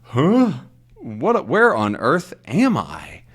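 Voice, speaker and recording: A man's voice: medium pitch, huge pitch swings, very slow, slightly rough, pitched above the performer's own voice, heavily acted. A man voicing a startled, questioning young adventurer held prisoner in a storybook. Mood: confused.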